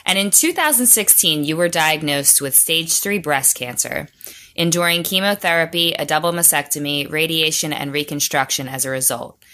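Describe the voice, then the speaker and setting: A woman hosting an interview, reading a polished introduction and asking measured questions. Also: sits low, animated, pushed and bright. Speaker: host.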